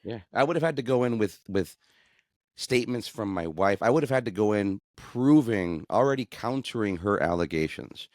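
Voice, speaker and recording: Man, middle-aged, very deep voice, earnest, halting restarts, dry close recording. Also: dry recording. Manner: earnest, animated when indignant, many restarts. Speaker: guest sharing his divorce story. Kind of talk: candid conversational interview.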